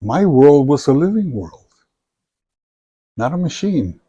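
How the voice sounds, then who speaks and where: Older guy, slow thoughtful answers, warm low-key tone, dry sound. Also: very animated, husky, no room sound, dull audio. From Samoa. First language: Samoan. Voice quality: measured, thoughtful delivery with frequent fillers. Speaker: interviewee, retired professor. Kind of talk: reflective interview answers.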